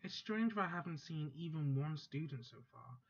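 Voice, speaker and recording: A man's voice: a little high, expressive, moderate pace, breathy edge. A man reading aloud the first-person narration of a proud teenage girl magus from a visual novel, in a plain voice that stumbles and corrects itself. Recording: dull lo-fi sound, faint music.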